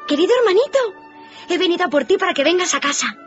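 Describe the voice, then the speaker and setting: A woman's voice: extremely high pitch, lively intonation, fast talker. A woman playing a sweet, earnest and loving little girl in a radio drama. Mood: tender excitement. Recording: muffled radio drama, faint music.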